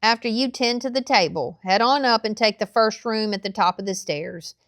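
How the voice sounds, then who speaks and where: A woman voicing a kindly, folksy old seaman who is gentle and reassuring, in a storybook reading. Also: on the high side, moderate pace, no echo, studio quality. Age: older.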